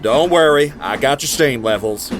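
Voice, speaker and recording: A man's voice: lively intonation, moderate pace, lightly acted. A man playing a thoughtful, questioning steam train crewman in an audio drama, speaking in a curious, reflective way. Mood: earnest, wistful. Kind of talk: amateur audio drama acting. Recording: noisy rumbling train background.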